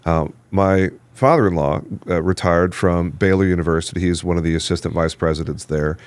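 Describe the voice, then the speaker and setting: A man hosting a podcast from a studio, asking questions in a relaxed, joking way. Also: low-pitched, fairly quick, clear, resonant tone, no echo. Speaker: podcast host. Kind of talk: casual interview chat.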